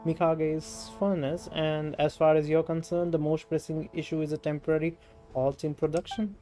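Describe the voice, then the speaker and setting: An Indian man voicing a haughty, lecturing shrine maiden from a video game, impatient and sharp-tongued. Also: a little high, little pitch movement, moderate pace, faint music behind, natural voice. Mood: scolding.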